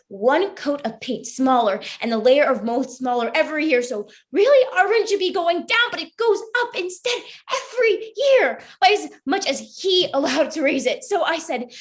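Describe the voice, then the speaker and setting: A young woman acting an anxious, defensive student who rambles and apologises in a naturalistic stage play reading. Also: sits high. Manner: rambling, apologetic, stammering under pressure.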